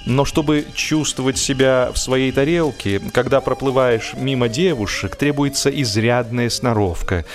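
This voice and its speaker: A man reading humorous literary prose as an audiobook narrator, with a theatrical, expressive delivery. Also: mid-range voice, normal speed, few pauses, faint music behind.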